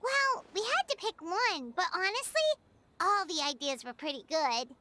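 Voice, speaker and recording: Tiny chirpy game sidekick, squeaky high, swoops around, eager and nosy. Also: female voice, very animated, normal speed, very noisy. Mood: curious, chirpy. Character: small, eager childlike game companion, curious and outspoken. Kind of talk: English video game voice acting.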